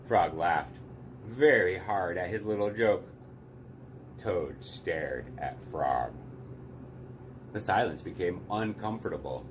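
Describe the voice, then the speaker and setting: A man's voice: low-pitched, slow, pauses often, slight background noise. A man narrating a story aloud expressively as a read-aloud host.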